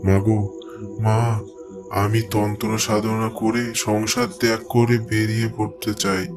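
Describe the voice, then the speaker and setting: A man's voice: lowish voice, flat delivery, unhurried, close to an everyday voice. Man playing an earnest village husband and occult devotee. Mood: pleading. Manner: earnest, pleading, repeats himself. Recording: music behind the voice.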